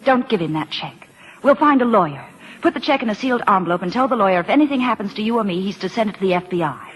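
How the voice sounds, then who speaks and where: An actress playing an urgent, scheming, sophisticated kept woman in an old-time radio drama, polished and insistently persuasive, with a husky voice. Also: mid-range voice, animated, fast talker. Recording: old radio recording with long reverberation.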